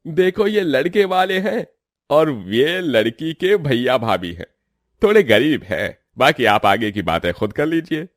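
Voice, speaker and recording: A man's voice: on the high side, sing-song, measured pace, no echo. Man as priest matchmaker for family story; courteous, matter-of-fact, dry recording. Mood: businesslike introduction.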